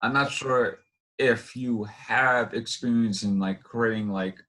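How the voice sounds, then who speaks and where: A man hosting an informal interview, asking rambling questions in a halting way as he searches for words. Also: medium pitch, steady tone, pushed and bright. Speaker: interview host.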